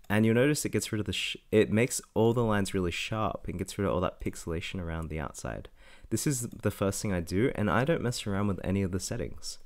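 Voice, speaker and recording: Young man, lowish voice, slightly raspy from a cold, casual tutorial narration. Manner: casual, self-correcting walkthrough. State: slightly raspy from being sick. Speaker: tutorial host. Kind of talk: screen-recorded software tutorial.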